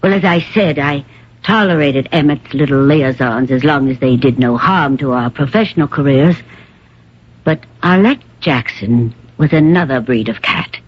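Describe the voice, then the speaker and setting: An actress playing an elderly, poised and theatrical stage star with a condescending manner in a radio drama. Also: female voice, a bass voice, moderate pace, husky. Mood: haughty. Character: elderly stage actress, poised, grandly self-possessed wife. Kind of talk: 1970s-80s radio drama acting. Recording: dull lo-fi old radio recording.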